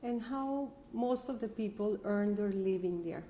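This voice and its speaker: A woman's voice: mid-range voice, measured pace, mellow, a little hiss or hum. A woman conducting an oral history interview, formal and careful, spelling out names.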